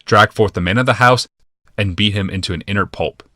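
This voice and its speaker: A man reads a fantasy audiobook in a low voice with a bright, forceful edge. The delivery is scripted dramatic narration, and the recording is very dry. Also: a little fast, no echo.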